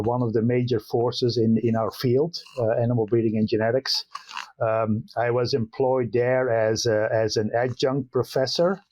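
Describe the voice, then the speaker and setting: An older man from the Netherlands with a Dutch accent, a professor answering interview questions in an unhurried way with many fillers and restarts. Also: mid-range voice, darkish tone, a little hiss or hum, low-quality recording. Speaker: guest professor of animal science.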